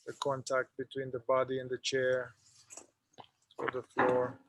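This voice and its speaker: A man leading a guided meditation, speaking in an unhurried, halting way with long pauses. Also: medium pitch, monotone, heavy background noise.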